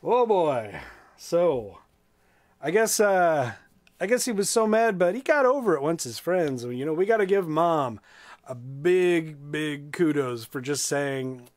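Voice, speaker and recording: A man's voice: on the high side, no echo. An American man hosting a children's storytime, reading playfully with chatty, casual asides. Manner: playful, animated, dramatic drawn-out reading. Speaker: storytime reader and host.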